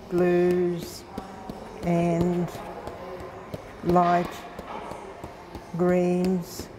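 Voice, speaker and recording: An older woman reading out haltingly and deliberately, a word or two at a time. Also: fairly low pitch, noisy background. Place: indoor gallery.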